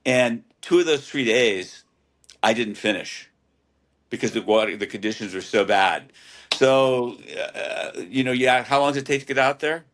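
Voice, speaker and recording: A man's voice: mid-range voice, normal speed, a bit bright. A man from Hawaii, a guest on a podcast, who tells swimming anecdotes in a casual, storytelling way and repeats words as he starts. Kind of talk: casual interview chat.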